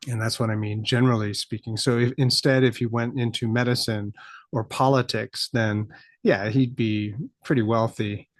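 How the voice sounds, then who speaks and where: A middle-aged American man hosting a solo podcast, talking reflectively and thinking aloud with many pauses and fillers. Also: medium pitch, steady tone, no echo. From United States. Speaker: podcast host.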